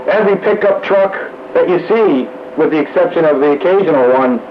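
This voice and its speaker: A man's voice: a little high, a little fast. A plain-spoken, matter-of-fact man chatting casually over the radio. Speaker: radio hobbyist in a group conversation. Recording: muffled, noisy two-way radio audio.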